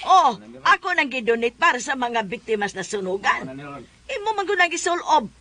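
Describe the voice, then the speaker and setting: Shrill, insistent Cebuano widow. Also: female voice, big pitch swings, moderate pace, bright, forceful tone, heavily acted. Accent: Cebuano Filipino. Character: plaintive, insistent widow demanding back her donation. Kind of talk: comedic radio drama acting.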